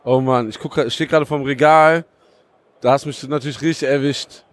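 A man's voice: mid-range voice, fairly even intonation, brisk. A man co-hosting a podcast, talking in an enthusiastic, slangy, rambling way at a trade fair stand. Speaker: podcast co-host. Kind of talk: casual chat.

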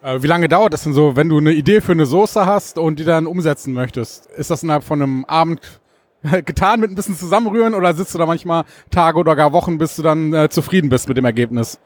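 A man's voice: fairly high pitch, quick pace, no echo. A man hosting a podcast, asking his guest questions in a friendly, inquisitive way. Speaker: podcast host. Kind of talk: casual interview.

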